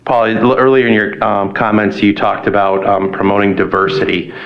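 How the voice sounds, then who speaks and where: Board member guy, lowish voice, lots of ums, kinda muffled lo-fi sound. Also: flat delivery, normal speed. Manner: hesitant, many ums. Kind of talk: interview questioning.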